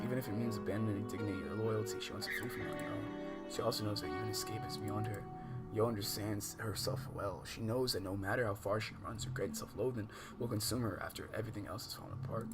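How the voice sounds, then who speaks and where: An American man hosting a game livestream, switching between slangy, profane, exasperated chat and reading visual novel text aloud. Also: lowish voice. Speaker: livestream host. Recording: music behind the voice.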